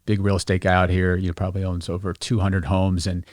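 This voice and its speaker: A man's voice: low-pitched, completely flat delivery, quick pace, darkish tone, dry recording, clean studio sound. A man chatting casually as a podcast guest in a rambling, informal, slangy way.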